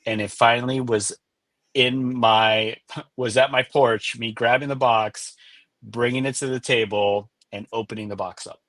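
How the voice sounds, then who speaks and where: A man's voice: mid-range voice, steady tone, unhurried, dry recording. A man whose first language is Spanish, a guest author on a webinar interview, speaking in a reflective, earnest way. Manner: reflective and earnest, with soft affirming murmurs.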